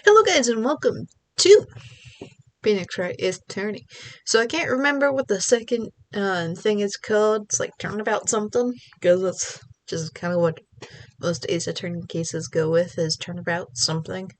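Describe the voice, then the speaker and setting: A woman's voice: mid-range voice, normal speed. A young woman with a stuffy, congested voice, chatting and rambling in a casual let's-play of a video game. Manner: chatty, rambling, self-deprecating. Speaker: game streamer.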